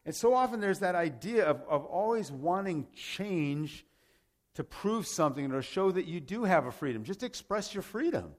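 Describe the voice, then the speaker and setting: A man's voice: sits high, very animated, normal speed. A man from Rome, Italy, preaching a sermon in an emphatic, conversational way, with rhetorical questions and repeated phrases. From grew up in Rome, Italy, to American parents. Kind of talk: sermon with scripture and personal anecdotes.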